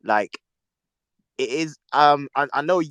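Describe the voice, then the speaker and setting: A man's voice: fairly high pitch, slow pace, fairly bright tone, clean tone, dry recording. A man calling in to a debate, talking in a rambling, hesitant way with many fillers. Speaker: caller. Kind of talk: casual debate.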